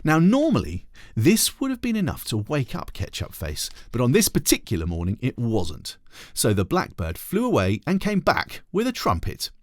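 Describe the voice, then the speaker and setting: Very animated man reading a children's story. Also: medium pitch, normal speed, dry recording, studio quality. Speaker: teacher reading aloud.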